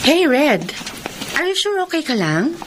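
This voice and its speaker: A woman's voice: high voice, very animated, unhurried, poor audio. A woman acting in a Filipino radio drama as a sweet, breezy young girlfriend who mixes in English. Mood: concerned. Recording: music bed behind dramatised radio dialogue.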